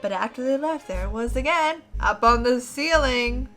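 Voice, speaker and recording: A woman with a fairly high voice reads stories aloud in a dramatic, playful way. She slips easily between narration and chatty asides, with faint music behind her. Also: moderate pace. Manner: playful, expressive, interrupts herself with asides. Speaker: co-host reading stories aloud. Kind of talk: dramatic story reading mixed with casual banter.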